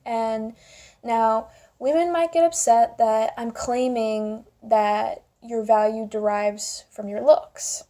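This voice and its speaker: A woman's voice: a little high, steady tone, takes it slowly, dark tone. A young woman giving her opinions casually in a vlog, with an informal, wry way of talking. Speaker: video commentator.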